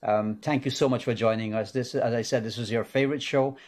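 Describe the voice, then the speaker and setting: A man's voice: medium pitch, steady tone, brisk, a little mellow. A man hosting a live call-in medical show in a studio, talking casually with many fillers and restarts. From Guyana.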